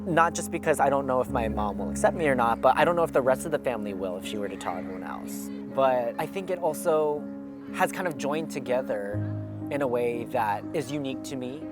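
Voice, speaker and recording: A young man with a mid-range voice talks earnestly and thoughtfully, pausing with "um" as he weighs his words. Clear music runs beneath him throughout. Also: medium pitch, animated. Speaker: documentary interviewee. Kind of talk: reflective personal account.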